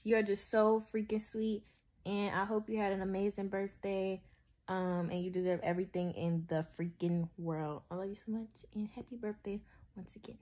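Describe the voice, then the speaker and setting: A woman's voice: medium pitch, level tone, moderate pace, mellow. A woman speaks in a mid-range voice, recording a birthday message for a younger relative. She gushes with affection and repeats her words for emphasis. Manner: affectionate, gushing.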